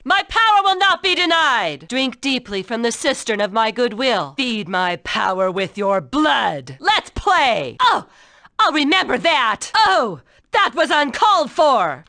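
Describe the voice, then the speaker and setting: A woman's voice: high-pitched, big pitch swings, pushed and bright, heavily acted. A woman voicing a haughty, bloodthirsty sorceress in a video game, grandiose and scornful. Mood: haughty menace. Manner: grandiose, scornful, drawn-out taunts. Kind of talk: video game battle voice lines.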